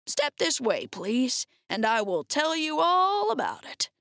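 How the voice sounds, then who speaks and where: A woman's voice: high voice, sing-song, takes it slowly, gravelly, fairly bright tone, dry recording, pitched above the performer's own voice, heavily acted. Lady reader doing timid little old man wizard from a kids book, kinda meek n pleading, dry close sound. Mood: confiding. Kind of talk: storybook character reading.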